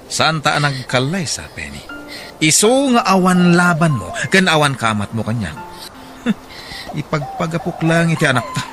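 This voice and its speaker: A man's voice: fairly high pitch, very animated, moderate pace, sharp tone, faint music behind. A man with an Ilocano accent playing a harsh, hot-tempered husband and father in a radio drama, scolding and emphatic. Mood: irritated, harsh.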